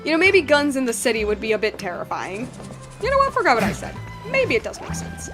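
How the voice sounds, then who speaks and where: A woman giving casual, playful commentary as she plays through a game, rambling and excitable. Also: sits high, quick pace. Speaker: gaming streamer. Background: game music.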